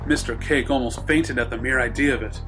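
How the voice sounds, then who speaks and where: A man's voice: medium pitch, steady tone, fairly quick, music in the background. A man reading fan fiction aloud as scripted third-person narration. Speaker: story reader.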